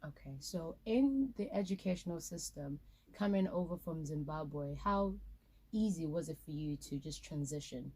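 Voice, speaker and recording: Soft dark-voiced woman host. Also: deep voice, animated, moderate pace, slightly rough, rough recording. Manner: friendly, encouraging with short backchannels. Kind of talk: online interview chat.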